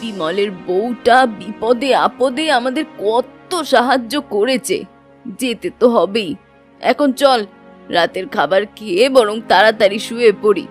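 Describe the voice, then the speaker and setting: Woman doing tired kindly old cartoon granny, highish voice, bit of music under. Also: a little slow, natural voice. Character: weary, kindly old village grandmother. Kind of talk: cartoon voice acting.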